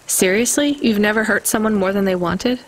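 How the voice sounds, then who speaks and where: A woman's voice: mid-range voice, fairly quick, natural voice, low-quality recording. A woman playing a weary, guarded but caring young female delivery driver in a video game, with a dry, informal manner. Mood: guarded, curious.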